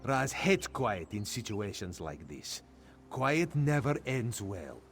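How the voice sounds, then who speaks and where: A man's voice: lowish voice, very animated, takes it slowly, noisy background, full character voice. A man voicing a cheerful male cat-folk rogue who talks about himself in the third person, as AI-voiced game dialogue. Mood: playful.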